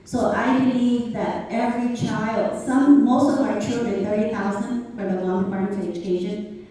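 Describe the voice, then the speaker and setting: A middle-aged woman making a halting, unscripted comment from the audience at a seminar. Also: on the high side, steady tone, mellow. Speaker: audience member, education worker. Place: lecture hall, seated in audience. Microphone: handheld microphone. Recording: echoey hall, distant from microphone.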